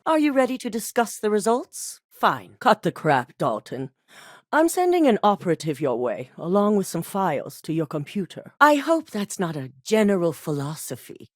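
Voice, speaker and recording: Brief: a ruthless middle-aged woman executive for a game, low and icy, lively pitch, dry studio sound. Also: dry recording. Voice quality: clipped, commanding, icy. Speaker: video game character. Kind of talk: game dialogue voice acting.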